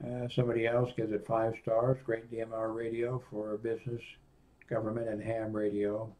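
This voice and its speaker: An older man in his sixties with a fairly low voice reviews a product off the cuff. He talks casually and haltingly, rambling between his own remarks and bits he reads aloud from a screen. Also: completely flat delivery, mellow, poor audio. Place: small indoor home office room.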